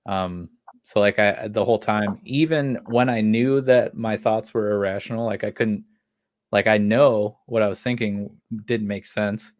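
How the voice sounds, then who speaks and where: A man in his thirties talks in a low, dry, close voice. He co-hosts a casual podcast chat and rambles, leaning on fillers as he goes. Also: fairly even intonation, measured pace, no room sound. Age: 30s. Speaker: podcast co-host.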